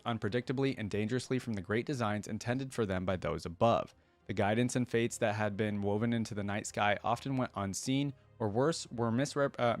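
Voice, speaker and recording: A man's voice: lowish voice, dry recording. A man hosting a reaction video, switching between informal commentary and reading text aloud. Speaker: reaction channel host.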